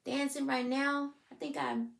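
A woman's voice: high-pitched, flat delivery, a little slow, creaky, a bit bright. A woman in her thirties who speaks expressively to young listeners as friends, as a children's storybook host. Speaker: school counselor and read-aloud host. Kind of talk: animated children's storybook read-aloud and introduction. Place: furnished room at home, indoors.

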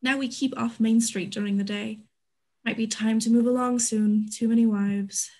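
A woman acting a proud, high-voiced frontier businesswoman. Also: steady tone, normal speed, darkish tone. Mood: guarded, proud. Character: candid, proud businesswoman of an Old West town. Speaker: actor in an online staged reading. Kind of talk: scripted stage play reading. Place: indoors at home, on a group video call.

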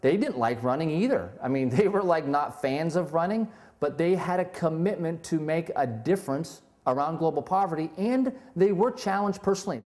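A man's voice: a little high, animated, normal speed, hardly any pauses, darkish tone. A man in his forties, a lead pastor, speaking candidly with self-deprecating humor in an interview. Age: 40s. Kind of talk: testimonial interview. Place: indoor room with brick wall.

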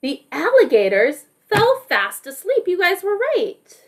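A woman's voice: extremely high pitch, huge pitch swings, unhurried. A woman teacher reading a storybook to young children and asking them questions in an encouraging, teacherly way. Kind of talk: storybook read-aloud with questions to a group of children.

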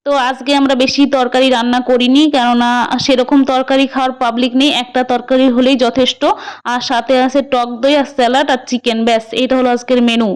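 A woman's voice: high voice, level tone, a little fast, clear, resonant tone. A woman vlogger narrating her day casually and chattily, speaking straight to her viewers. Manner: chatty, addresses viewers directly.

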